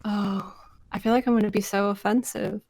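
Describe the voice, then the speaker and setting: A woman's voice: mid-range voice, flat delivery, normal speed, a little mellow, no echo. A woman chatting casually as an interview guest, giggly and self-deprecating. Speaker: voice actress, podcast guest.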